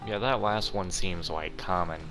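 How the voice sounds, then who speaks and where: Very deep-voiced man, casual gamer. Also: moderate pace. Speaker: gamer commenting on playthrough. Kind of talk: casual gameplay commentary. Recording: game music under the commentary.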